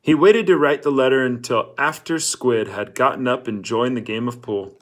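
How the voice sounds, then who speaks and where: A man's voice: mid-range voice, moderate pace. A man reading a novel aloud as scripted storybook narration. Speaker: audiobook-style reader.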